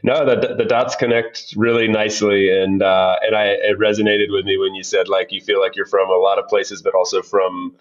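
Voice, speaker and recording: A man's voice: lowish voice, little pitch movement, moderate pace, hardly any pauses. A man hosting a podcast interview, enthusiastic and full of fillers and restarts. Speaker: podcast host. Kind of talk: casual interview. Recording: dull lo-fi sound.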